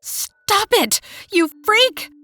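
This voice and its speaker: A woman's voice: very animated, drawn-out words, exaggerated voice. A woman voicing a cruel, taunting sister in an old-time radio horror story. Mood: angry. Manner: taunting, shrill shouting. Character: cruel mocking sister, shrieking at her monstrous brother. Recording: roomy echo.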